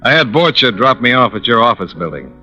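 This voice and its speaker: A man's voice: mid-range voice, very animated, a little fast, muffled recording, over music, natural voice. An actor playing a cool, probing male insurance investigator in old-time radio style, with an American accent and clipped, dry, questioning delivery on an old recording. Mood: matter-of-fact narrating. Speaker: actor playing the lead in a radio detective drama. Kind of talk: old-time radio detective acting and first-person narration.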